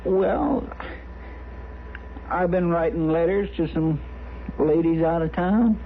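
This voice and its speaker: A man's voice: on the high side, takes it slowly. A man playing a sheepish, folksy deputy in an old radio western, with a rural American accent and rambling, hesitant delivery. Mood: sheepish confession. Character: sheepish, lovesick, folksy deputy of a frontier marshal. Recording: tinny, faintly noisy old radio broadcast.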